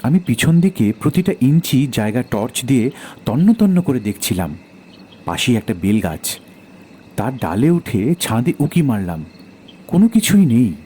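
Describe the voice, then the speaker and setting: A man's voice: medium pitch, big pitch swings, moderate pace, mellow, a little hiss or hum. A man narrating a first-person horror audio story in a dramatic storytelling style.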